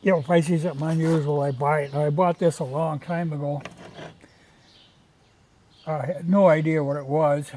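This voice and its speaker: A man's voice: on the high side, steady tone, moderate pace, pauses often, warm tone, a little hiss or hum. A man chatting in a folksy, rambling way in a casual, unscripted show-and-tell. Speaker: vlogger showing old radios from a junk collection.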